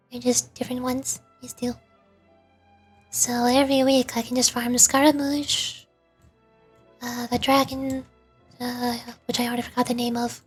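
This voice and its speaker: A woman with a high voice that has a husky, breathy edge streams a video game. She talks casually, thinking aloud as she goes. Faint music plays behind her. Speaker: streamer, VTuber. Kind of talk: video game livestream commentary, reading dialogue aloud.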